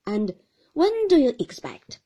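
A woman's voice: high voice, very animated, takes it slowly, warm tone, pitched above the performer's own voice, exaggerated voice. Woman doing a blunt, bossy old aunt. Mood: brusque. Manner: curt and commanding. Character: brisk, blunt older woman, a stern aunt.

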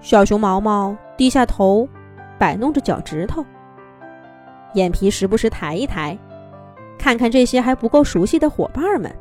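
Expressive woman reading a kids' story. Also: medium pitch, moderate pace, pauses often. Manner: gentle, expressive, singsong. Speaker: children's storyteller. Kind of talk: children's story narration. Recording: soft background music.

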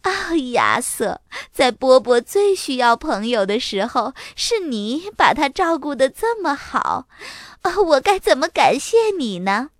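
A woman's voice: sits high, big pitch swings, slow, no echo, clean studio sound, heavily acted. A woman voicing a kindly, emotional neighbour lady in a children's storybook, gushing and exclaiming. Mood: relieved, grateful. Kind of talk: children's storybook character acting.

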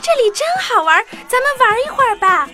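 A woman playing an excitable, curious small child in a children's audio drama, exclaiming in a wide-eyed, playful way. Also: extremely high pitch, fairly quick, over music. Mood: eager, playful.

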